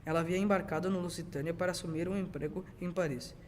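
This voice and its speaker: A man's voice: high voice, steady tone, fast talker. A young teenage boy reading scripted narration for an amateur radio drama. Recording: noisy amateur school recording.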